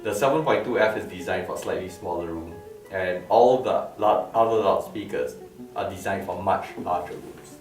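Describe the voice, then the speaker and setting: Man, low voice, informal product presenter, emphatic, repeats words, echoey room, soft music behind. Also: normal speed. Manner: emphatic explainer, repeats words and asks rhetorical questions. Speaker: loudspeaker designer presenting his product line. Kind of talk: informal product talk to camera. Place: medium-sized living-room-like room indoors. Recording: roomy, distant mic with music behind. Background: plucked lute music.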